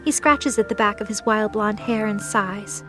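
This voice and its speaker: A woman's voice: medium pitch, moderate pace, over music. A woman narrating a scripted light novel audio drama.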